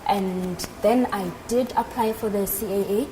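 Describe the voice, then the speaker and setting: A young woman with a South African accent gives explanatory answers as an interview guest. Her voice sits at a middle pitch. There is clear noise in the background of the recording. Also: moderate pace. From South Africa, Northern Cape. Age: 20s. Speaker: interview guest, aircraft maintenance engineer. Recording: noticeable background noise at live event.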